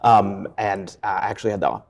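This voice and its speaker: A man's voice: on the low side, fairly quick, vocal fry. A man speaking casually as an interview guest, a theatre director describing his work.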